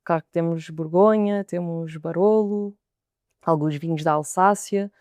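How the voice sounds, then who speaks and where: Soft-spoken Portuguese sommelier woman. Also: fairly low pitch, fairly even intonation, measured pace, no echo, clean studio sound. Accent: European Portuguese. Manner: thoughtful, repeats words as she gathers her thoughts. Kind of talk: podcast interview answers.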